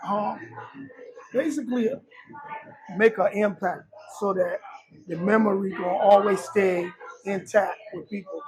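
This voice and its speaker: Middle-aged guy from liberia, kinda high voice, soft and halting, crowd noise around. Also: low-quality recording. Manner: soft-spoken, halting with many fillers. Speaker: rapper, guest. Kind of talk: casual interview.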